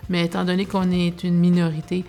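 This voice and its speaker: A woman, explaining in a measured way in a documentary interview. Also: fairly low pitch, monotone, mellow.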